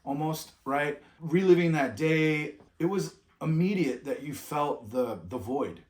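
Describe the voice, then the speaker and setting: A man's voice: a little high, measured pace, a little mellow. Middle-aged guy co-hosting a travel vlog, loose rambly talk, lots of restarts, echoey room. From California. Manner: rambling, with many restarts and trailing off. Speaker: vlog co-host. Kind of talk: reflective casual chat.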